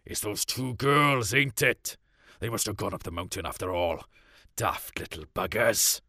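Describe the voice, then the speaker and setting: A man voicing a gruff, blunt, determined middle-aged farmer father in an audio story. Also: fairly low pitch, animated, measured pace, sharp tone, no echo, clean studio sound, exaggerated voice. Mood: exasperated.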